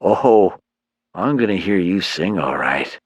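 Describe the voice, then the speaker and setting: A man's voice: sits low, lively intonation, slow pace, slightly rough, no echo, full character voice. A man voicing a swaggering, toothpick-chewing male thug leader who is dim but menacing, in a slangy, cocky manner. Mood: taunting.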